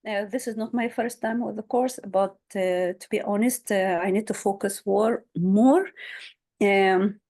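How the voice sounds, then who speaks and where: Woman, first language Arabic, mid-pitched, hesitant self-introduction, video-call sound. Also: steady tone, measured pace, a little mellow. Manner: hesitant, many fillers. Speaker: returning student, Arabic teacher.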